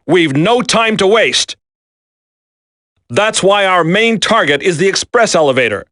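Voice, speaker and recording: A man's voice: sits high, lively intonation, a little slow, sharp tone, no room sound, clean studio sound, heavily acted. A man playing a no-nonsense special forces team leader in a video game, authoritative and emphatic. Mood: focused, commanding. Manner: authoritative, clipped, emphatic briefing.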